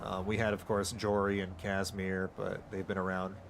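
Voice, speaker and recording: A man streaming a game, rambling casually with excited outbursts and stammering restarts. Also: sits low, moderate pace, vocal fry, slight background noise. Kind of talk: casual live gameplay commentary.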